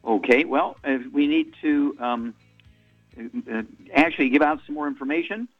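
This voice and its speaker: Older male radio host in his eighties, rambling pitchman, fillers, lo-fi radio sound. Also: moderate pace, soft background music. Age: 80s. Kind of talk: radio talk and product pitch.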